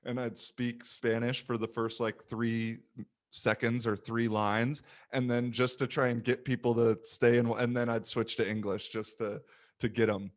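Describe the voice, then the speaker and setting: A young man hosting a podcast, chatting casually and animatedly, with quick asides and little voice impressions in his stories. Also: medium pitch, level tone. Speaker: podcast host. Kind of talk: casual conversation.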